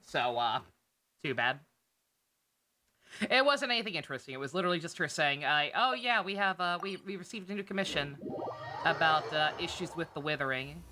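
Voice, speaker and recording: A woman's voice: deep voice, expressive, a little fast, bright, forceful tone. A woman livestreaming a game, chatting casually with viewers in a sweary, wry, sarcastic way. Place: indoor game streaming setup, game music behind.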